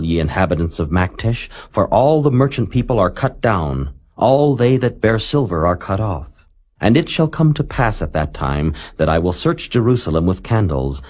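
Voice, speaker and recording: A man giving a solemn, measured, declamatory scripture reading as a Bible narrator. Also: fairly low pitch, a little mellow.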